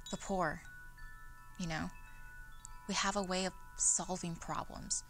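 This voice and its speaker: A young woman acts out an earnest union activist recalling her life in a dramatic monologue. Her voice sits fairly low and has a forceful, bright edge, with faint music behind it. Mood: solemn, proud. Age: young adult. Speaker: actor in oral history dramatization. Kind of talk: dramatized first-person monologue.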